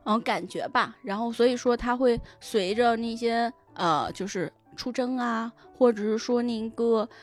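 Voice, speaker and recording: Woman podcast guest, voice on the high side, explains stuff casually and rewords as she goes, bit of music behind. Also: a little slow, few pauses. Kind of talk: casual discussion of novels and their adaptations. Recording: music under the voice. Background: background music.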